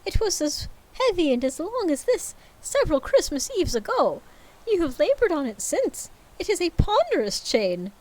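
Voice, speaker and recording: A woman voicing a mournful, weary old male ghost bound in chains, declaiming in a high, wailing way while reading a storybook aloud. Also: very animated, moderate pace, a little mellow, low-quality recording. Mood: solemn.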